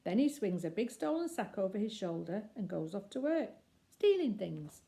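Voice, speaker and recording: A woman reading a children's story aloud expressively, with deliberate phrasing and emphatic pauses. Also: mid-range voice, big pitch swings, gravelly, mellow.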